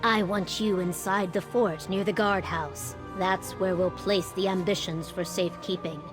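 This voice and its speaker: A woman playing a solemn female military commander in a video game, formal and authoritative in a measured way. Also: fairly low pitch, steady tone, over music, lightly acted. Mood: grave, steady. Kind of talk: video game character acting.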